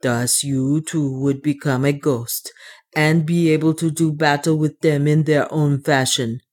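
A woman narrator plays a fearful, superstitious dwarf servant from an adventure storybook. The voice is low, and he talks in old-fashioned, self-pitying and deferential phrases. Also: fairly even intonation, measured pace, a little mellow. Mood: gloomy, fearful.

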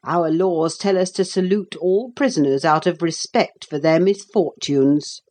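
A woman's voice: lowish voice, a little slow, warm tone. A woman voicing a kindly, courteous male captain of the guard in a storybook, speaking plainly. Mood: explaining.

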